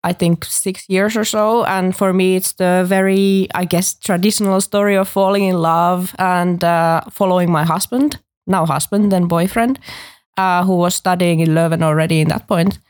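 A woman's voice: lowish voice, steady tone, normal speed, darkish tone. A woman from Finland talking casually as a podcast guest. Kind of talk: casual conversation.

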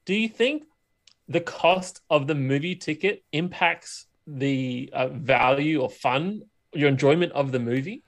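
A man co-hosts a podcast in loose, casual conversation. His voice sits a little higher than most men's, and he talks as if chatting with a friend. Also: a little slow. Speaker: podcast co-host. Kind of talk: casual chat.

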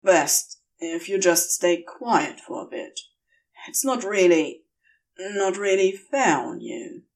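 Woman doing a low, brusque, protective male demon. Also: animated, slow pace, vocal fry, recorded from a distance, heavily acted. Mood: tense concern. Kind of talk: acted audiobook dialogue.

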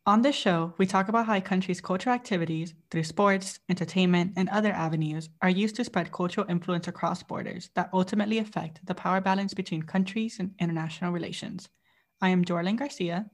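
A young woman co-hosting a student podcast, reading a prepared introduction in a measured way. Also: fairly low pitch. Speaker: podcast co-host.

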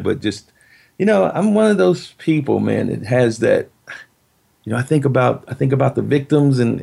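A middle-aged man, a guest in an interview, talking in a reflective, halting way with many restarts. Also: medium pitch, creaky, a little mellow. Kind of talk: casual interview chat.

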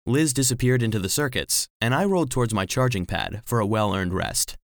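A man's voice: medium pitch, animated, a little fast, no echo, clean studio sound, lightly acted. A man playing a small, devoted household cleaning robot, narrating a children's audio drama earnestly. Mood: earnest, reflective. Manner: earnest storytelling.

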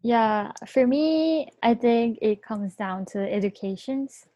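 A woman's voice: fairly high pitch, animated, takes it slowly, dark tone, no room sound, highs cut off. A young woman, a native Japanese speaker with a Japanese accent, chatting casually as a podcast co-host. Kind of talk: casual chat.

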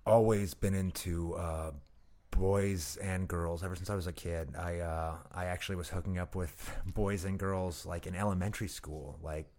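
Guest comic, 30s, very deep voice, casual and halting. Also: male voice, fairly even intonation, a little mellow. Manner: halting, many restarts and fillers. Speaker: guest comedian. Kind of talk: casual interview chat.

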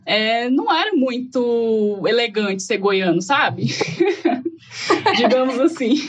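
A woman's voice: fairly high pitch, lively intonation, slow, slightly rough. A woman from Goiás, Brazil, with a Goiás accent, telling her story in a casual, reflective conversation full of fillers. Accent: Goiás Brazilian Portuguese. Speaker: guest. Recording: dull lo-fi audio.

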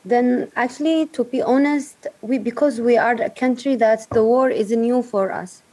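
A woman from Yemen, speaking haltingly in a remote interview, searching for words. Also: on the high side, fairly even intonation, a little mellow, low-quality recording. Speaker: guest, refugee advocate.

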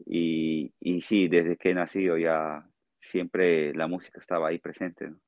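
A man with a Peruvian accent answering interview questions conversationally as a guest, explaining in a halting way and often checking with a short tag question. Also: low voice, level tone, darkish tone, dry recording, lo-fi sound. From Peru. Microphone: remote call.

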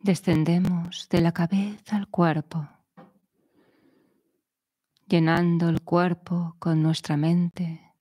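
A woman guiding a meditation in a soothing, measured manner, speaking in short phrases with long pauses. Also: on the low side, mellow, husky, no echo, studio quality. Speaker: meditation guide. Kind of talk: guided meditation narration.

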